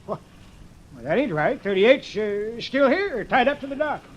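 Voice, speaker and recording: A man's voice: extremely high pitch, very animated, moderate pace, lo-fi sound. A man playing a folksy, rambling old American riverman in 1940s radio acting style. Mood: indignant. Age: older. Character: folksy old riverman running a boat dock. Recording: noisy old radio drama recording.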